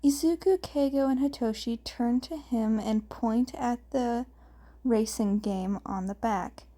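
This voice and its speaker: A woman reading fan fiction aloud as story narration, sometimes halting over her words. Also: fairly high pitch, warm tone. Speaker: fan fiction podfic reader.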